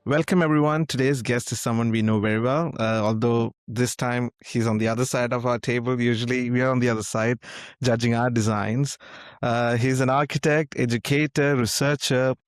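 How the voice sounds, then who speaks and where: A man's voice: medium pitch, steady tone, moderate pace, dry recording, studio quality. A young adult man hosting a podcast interview in an informal, friendly way with frequent fillers. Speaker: podcast host.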